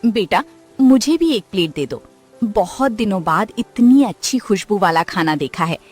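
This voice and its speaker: A woman's voice: mid-range voice, animated, a little slow, a little mellow, breathy edge, faint music behind. A woman voicing a chatty elderly village woman with new dentures. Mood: eager.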